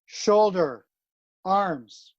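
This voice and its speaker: A woman calling out military drill commands as a drill caller, in clipped phrases with long pauses between them. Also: medium pitch, expressive, dry recording, muffled recording.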